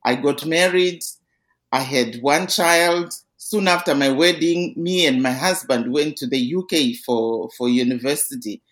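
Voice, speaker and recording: A woman's voice: deep voice, a little slow. A middle-aged woman from Zimbabwe with a Zimbabwean accent, earnestly recounting her life story as a podcast guest over a remote call. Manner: earnest, steady storytelling with small restarts. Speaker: interview guest.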